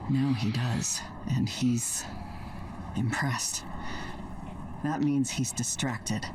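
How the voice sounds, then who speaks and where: An actor playing a grave, resolute commander giving a measured briefing in a game cutscene. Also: male voice, mid-range voice, fairly even intonation, noisy background. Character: steady military commander man, grave and resolute. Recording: distant, with clear game ambience.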